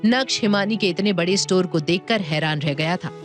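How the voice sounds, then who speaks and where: A woman's voice: on the low side, a little fast, soft background music. A woman narrating a scripted dramatic audio story.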